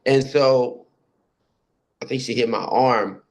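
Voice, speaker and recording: A man with a deep voice talks casually about his own experiences in a discussion, halting and circling back over his phrases. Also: steady tone. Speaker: participant sharing personal experiences. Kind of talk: casual personal storytelling in a group discussion.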